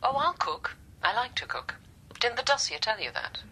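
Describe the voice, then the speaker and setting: An actress playing a brisk, self-assured woman doctor, heard over a telephone in a radio drama. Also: fairly high pitch, sing-song, sharp tone, husky, heavily acted. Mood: forward, assured. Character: brisk, forward female institute doctor on telephone. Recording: telephone call with faint noise.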